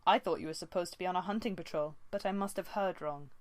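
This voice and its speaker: A woman voicing a stern, sarcastic male cat clan leader with a dry, crisp, commanding manner in an audiobook. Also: fairly low pitch, fast, no echo, natural voice. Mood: cold sarcasm. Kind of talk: audiobook character voice.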